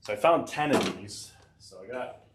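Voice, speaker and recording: A man's voice: medium pitch, lively intonation, normal speed, a bit bright, a little hiss or hum. A man hosting a DIY tech channel, talking casually through a repair with a head cold, rambling with fillers and dry asides. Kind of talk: casual DIY workshop narration. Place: home workshop.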